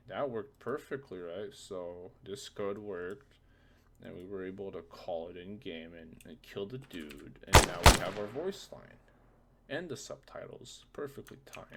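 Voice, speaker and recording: A man presenting a casual software tutorial, thinking aloud in an informal way with a gravelly voice. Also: low voice, expressive, unhurried, slight background noise.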